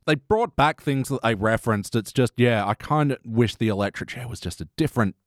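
A man's voice: mid-range voice, a little fast, dry recording, studio quality. A man hosting a podcast, giving his opinions in casual, conversational review chat. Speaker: podcast host.

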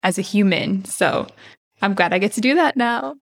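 A woman's voice: mid-range voice, lively intonation, unhurried, creaky. A woman hosting an interview podcast, effusive and chatty, dropping Spanish phrases into her English. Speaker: podcast host. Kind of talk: warm enthusiastic interview chat.

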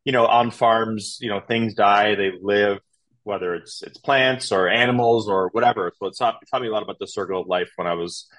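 A man's voice: on the low side, a little fast, a bit bright, poor audio. A man in his thirties, a podcast guest telling his story in a casual, rambling way with lots of fillers and restarts. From Canada.

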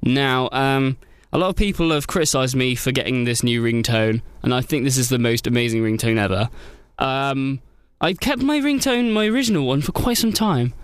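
A man hosting a radio show, chatting in a jokey, playful way with quick asides and laughs. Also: mid-range voice, clean tone, no echo, clean studio sound. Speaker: radio host. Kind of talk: casual radio chat.

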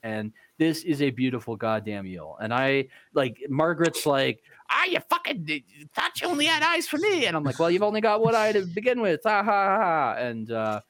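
A man's voice: a little high, sing-song, normal speed, few pauses, a bit bright. A man playing a tabletop game on a podcast, chatting casually and riffing with playful enthusiasm.

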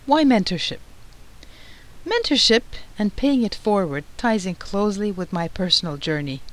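Measured woman hosting a podcast. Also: medium pitch, expressive, rough recording. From Turkey. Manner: measured, formal, read-aloud delivery. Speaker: podcast host, society president. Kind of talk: scripted podcast narration.

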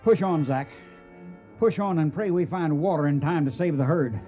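An actor playing a determined, caring trail boss in a Western radio drama, with commanding folksy cowboy speech. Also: male voice, fairly high pitch, normal speed, dark tone, dull audio, soft background music, close to an everyday voice. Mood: grim resolve. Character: determined, gruff but caring male cattle trail boss.

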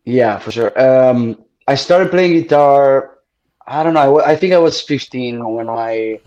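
An Italian-born man speaks as a guest, pausing and filling gaps while he hunts for words. His voice sits mid-range and comes through a dull, lo-fi recording. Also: mid-range voice, dark tone. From Italy. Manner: hesitant, lots of fillers, searching for words. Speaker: guest musician. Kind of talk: casual interview.

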